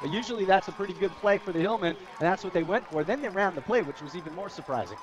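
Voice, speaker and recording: A man's voice: high voice, lively intonation, fast. An American man giving conversational, explanatory color commentary on a live football radio broadcast. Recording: dull lo-fi outdoor radio broadcast.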